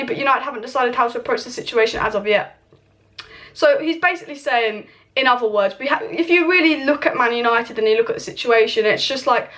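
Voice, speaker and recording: Fast-talking young woman with a hoarse voice. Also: fairly high pitch, fairly bright tone. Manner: chatty, opinionated, speaks straight to viewers. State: losing her voice, hoarse. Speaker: football channel host. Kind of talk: casual transfer news update. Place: home with kitchen clatter nearby. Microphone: camera mic.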